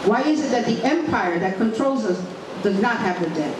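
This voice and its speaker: A woman giving a forceful political speech at an outdoor forum, asking rhetorical questions. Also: medium pitch, animated, measured pace. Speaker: forum speaker. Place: outdoor community garden. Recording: echoey outdoor recording from far off, clear noise.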